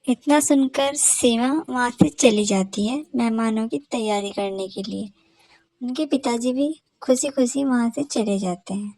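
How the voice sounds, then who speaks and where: A woman reading scripted storybook narration for an animated cartoon. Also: fairly high pitch, dry recording, rough recording.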